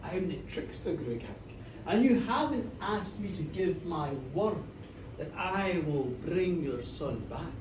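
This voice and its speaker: A storyteller voicing a tall, sly, magical male trickster who speaks slowly, with deliberate menace. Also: mid-range voice, expressive, echoey room, recorded from a distance, background noise, pitched below the performer's own voice, lightly acted. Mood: gloating menace.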